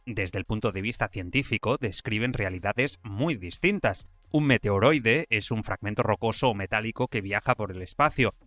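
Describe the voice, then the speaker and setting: A man with a Spanish accent from Spain, a podcast host reading a scripted science explainer in a measured, didactic way. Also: fairly low pitch, pushed and bright, no echo.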